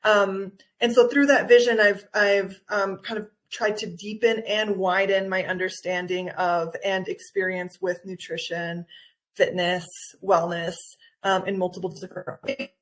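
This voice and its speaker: A woman's voice: medium pitch, steady tone, a little slow. A woman with an American accent and a mid-range voice speaks as a guest in an interview. She is a wellness coach who explains things with enthusiasm. Her delivery is conversational, and she often rephrases as she goes. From Guatemala. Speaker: nutritional anthropologist and wellness coach, podcast guest.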